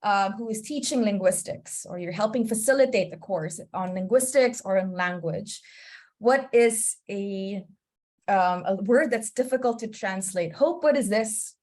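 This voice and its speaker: A woman's voice: medium pitch, measured pace, darkish tone. A woman presenting an online teaching webinar over a video call, chatty and often checking in with her listeners. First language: English. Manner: chatty, often checks in with "you know?" and "okay?".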